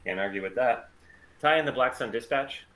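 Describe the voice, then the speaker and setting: A man's voice: medium pitch, brisk, fairly bright tone, close to an everyday voice, poor audio. A man playing a terse, weary starship pilot in an understated script read, speaking laconically. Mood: laconic, skeptical. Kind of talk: fan script table read, understated acting.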